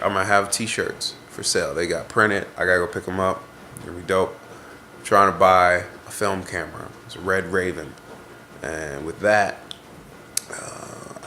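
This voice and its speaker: Vlogger, young man, low gravelly voice, stuffy nose, rambling and introspective. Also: pushed and bright, slight background noise. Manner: rambling, introspective, searching for words. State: congested. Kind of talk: casual reflective venting to camera.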